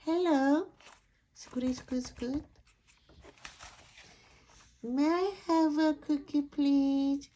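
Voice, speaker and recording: A woman voicing a small, polite, innocent duckling in a soft, simple storybook manner. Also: high voice, fairly even intonation, extremely slow, pauses often, a little hiss or hum, pitched above the performer's own voice. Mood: sweet, polite. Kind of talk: picture book read-aloud character.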